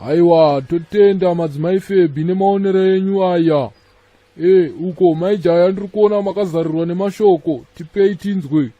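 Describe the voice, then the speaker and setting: A man's voice: high-pitched, moderate pace, no echo, lightly acted. A man playing a polite debate moderator in a comedy cartoon, speaking Shona on a dull recording. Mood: courteous.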